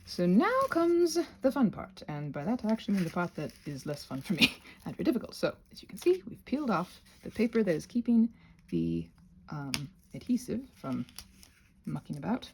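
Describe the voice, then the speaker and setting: A woman's voice: on the low side, big pitch swings, a little fast, a little mellow, slight background noise. A woman casually hosting a craft demonstration, talking in a hesitant, meandering way with self-deprecating asides. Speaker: craft channel host.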